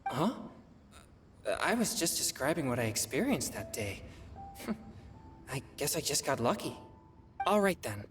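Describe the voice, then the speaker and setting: An actor playing an earnest young man who thinks out loud while composing poetry. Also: mid-range voice, big pitch swings, faint music behind. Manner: earnest, slow, thinking aloud. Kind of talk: game cutscene voice acting.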